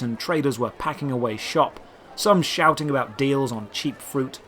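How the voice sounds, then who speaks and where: Male narrator for fantasy audio drama, very varied intonation, slightly high voice, dramatic first-person storytelling, some background noise. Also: a bit bright.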